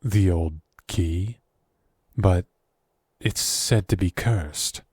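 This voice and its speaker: A man playing a wary, evasive captive governor in an audio drama, guarded and hesitant, with a husky voice. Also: low voice, very slow, darkish tone, dry recording, studio quality, heavily acted. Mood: grave, wary. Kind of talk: audio drama roleplay acting.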